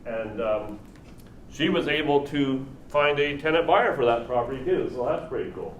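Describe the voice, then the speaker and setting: A man's voice: medium pitch, expressive, normal speed, highs cut off. A man giving an informal seminar as a trainer, conversational and putting rhetorical questions to his audience. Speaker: seminar trainer teaching negotiation and NLP. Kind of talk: live seminar presentation, informal coaching. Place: live seminar room with an audience. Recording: roomy, distant mic, faint noise.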